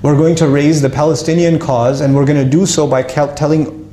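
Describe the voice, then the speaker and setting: A man casually telling his life story to an audience, lively and self-mocking, with frequent restarts. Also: a little high, a little fast, roomy sound.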